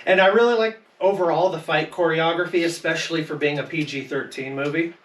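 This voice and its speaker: A man's voice: fairly high pitch, steady tone, brisk. A man on a podcast panel talking casually about films, enthusiastic and full of opinions. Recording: roomy echo, distant mic, faint noise.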